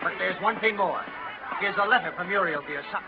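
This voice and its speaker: A prim, indignant small-town storekeeper who lays out every complaint. He talks high and fast, clipped with righteousness. The sound is dull and old-fashioned, with music in the background. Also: gravelly, very noisy. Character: stern self-righteous middle-aged male storekeeper. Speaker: actor in radio drama. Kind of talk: 1930s radio acting. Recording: muffled 1930s radio recording.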